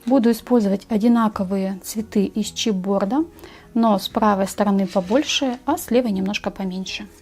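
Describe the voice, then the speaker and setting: A woman narrating a step-by-step craft tutorial in a measured, explanatory way. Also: mid-range voice, darkish tone, soft background music.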